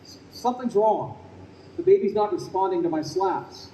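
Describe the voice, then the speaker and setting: An actor playing an earnest doctor in a delivery room, encouraging and dramatic, in old-time radio style. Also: male voice, high-pitched, expressive, moderate pace, warm tone, distant mic. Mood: urgent worry. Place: live performance in a convention room. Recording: dull echoey room recording, faint noise.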